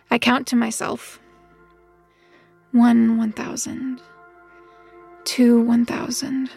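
An actress playing an earnest, reflective detective's assistant, narrating an audio drama in a measured, introspective way. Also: female voice, fairly high pitch, gravelly, dry recording.

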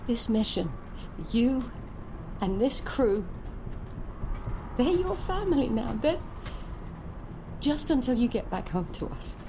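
A woman's voice: on the high side, sing-song, slow pace, long pauses, noisy background, exaggerated voice. An actress playing an earnest, loving middle-aged mother urging on her astronaut son in an audio drama. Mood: earnest, emotional.

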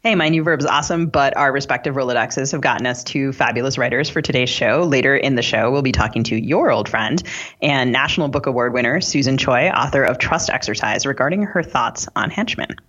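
A woman's voice: a bass voice, brisk, fairly bright tone, slightly rough, lo-fi sound. A woman co-hosting a podcast, playful in casual banter and guest introductions. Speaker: podcast co-host and novelist.

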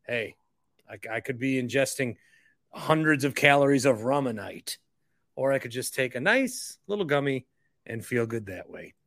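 An American man delivers a casual podcast monologue in a bright, forceful, fairly high voice. He is chatty and emphatic, with informal phrasing. The sound is close and very dry. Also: no echo. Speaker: podcast host and stadium announcer.

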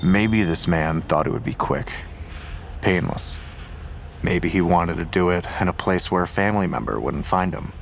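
An actor playing a calm, skeptical male investigator in an audio drama. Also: low-pitched, normal speed, creaky, a little hiss or hum.

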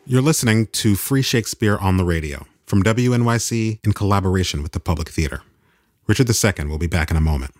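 A man hosting a radio broadcast, making a smooth station announcement. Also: lowish voice, fast, no room sound. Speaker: radio host.

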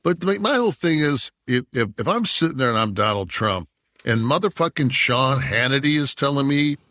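A man's voice: mid-range voice, big pitch swings, normal speed, bright, forceful tone, no room sound, studio quality. A man in his sixties from Detroit, co-hosting a podcast and talking in a heated, profane, rambling way. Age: 60s. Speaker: podcast co-host. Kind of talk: casual chat.

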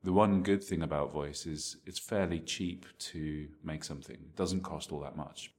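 A man giving conversational interview answers as an expert, explaining his opinions in a measured way. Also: extremely low pitch, steady tone, a little mellow. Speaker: interviewed marketing technology expert.

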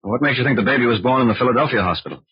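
No-nonsense bureau boss, quick speech, very husky, old radio drama, dull recording. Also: male voice, medium pitch, no echo. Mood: businesslike, inquiring. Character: businesslike male FBI chief, questioning his agent.

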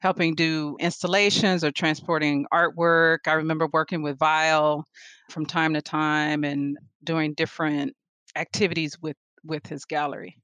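A woman's voice: deep voice, normal speed, clear, resonant tone, dry recording. A woman in her forties, a guest artist telling stories in a casual interview, laughing often. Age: 40s. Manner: animated storyteller, laughs often.

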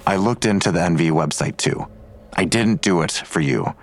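A man's voice: sits low, expressive, moderate pace. A man playing a weary, grudging police detective in an audio drama, curt and sardonic in manner. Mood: grudging, guarded.

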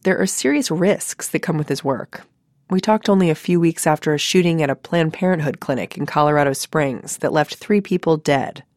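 Low-pitched American woman narrating. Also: moderate pace, a little mellow, dry recording, studio quality. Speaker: radio journalist, narrator. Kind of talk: scripted narration.

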